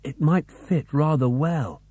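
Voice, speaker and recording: A man's voice: a little high, slow pace, mellow, breathy edge, no echo. A man voicing a curious, polite schoolboy in an audiobook, with a light, questioning manner. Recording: dry cassette tape recording.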